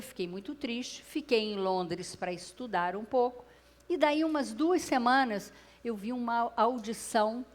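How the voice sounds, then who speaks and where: A Brazilian woman speaks on stage through a clip-on mic, in a Brazilian Portuguese accent. She tells her story to a live audience and often turns to them directly. Her voice sits at a middle pitch for a woman. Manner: lively storyteller who addresses the audience directly. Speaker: speaker at a public talk. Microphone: clip-on microphone.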